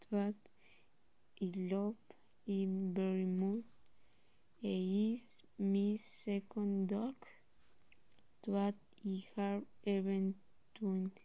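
Slow, hesitant teen girl with Costa Rican accent. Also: medium pitch, dark tone, no echo, dull audio. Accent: Costa Rican Spanish. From Costa Rica. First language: Spanish. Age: teens. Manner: halting, with pauses and self-corrections. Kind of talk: reading a personal introduction.

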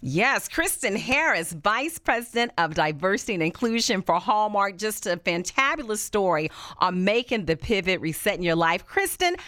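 A woman's voice: medium pitch, a bit bright, dry recording, clean studio sound. A woman hosting an interview, enthusiastic and affirming as she asks her guest questions. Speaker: interview host. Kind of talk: conversational interview.

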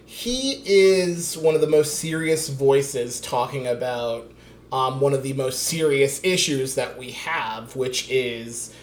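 A man's voice: medium pitch, slow, echoey room. A man in his late twenties chatting casually about politics, rambling with many restarts and fillers. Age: 20s.

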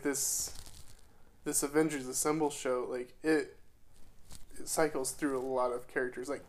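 A man with a slightly clogged-up voice chatting casually, often hesitating and trailing off unsure. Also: medium pitch. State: congested, a bit clogged up. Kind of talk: casual chat.

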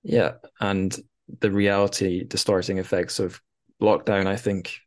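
Low-voiced man in casual discussion. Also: little pitch movement, a little slow. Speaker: podcast host. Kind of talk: casual conversational literary discussion.

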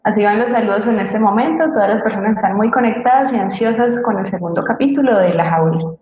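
A woman's voice: medium pitch, quick pace, a little mellow. A woman co-hosting, reading out greetings and messages from the audience. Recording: very echoey, noisy booth audio.

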